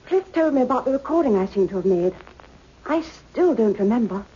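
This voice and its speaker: An actress playing an earnest, brave young woman, speaking a little haltingly in a radio drama. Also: fairly high pitch, lively intonation, dark tone, husky. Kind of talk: old radio drama acting. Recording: muffled, noisy old-time radio recording.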